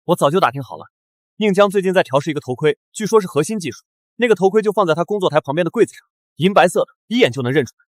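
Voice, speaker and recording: A man's voice: high voice, lively intonation, rapid-fire, dry recording, studio quality. A man playing a greedy, brash, spiteful young schemer in a short drama. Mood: smug.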